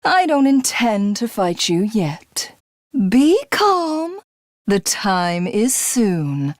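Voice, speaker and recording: A voice actress playing a haughty, cruel would-be goddess, a grandiose and theatrical video game villain. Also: female voice, mid-range voice, a little mellow, dry recording, studio quality. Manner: grandiose, slow, archaic, menacing delivery.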